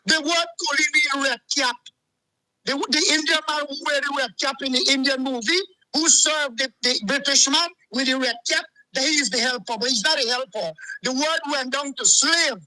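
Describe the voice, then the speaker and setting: A man's voice: extremely high pitch, normal speed, pushed and bright, dry recording, poor audio. A man, calling in to a show and ranting heatedly, repeating himself. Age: 40s. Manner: agitated, repetitive, keeps saying "let me tell you something". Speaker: caller. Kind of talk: heated call-in rant.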